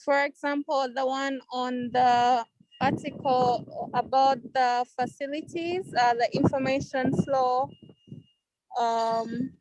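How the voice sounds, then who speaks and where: A woman's voice: sits high, steady tone, slow, noisy background. A woman on a video call reporting reviewer comments on her articles, hesitant with frequent fillers. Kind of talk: casual meeting report.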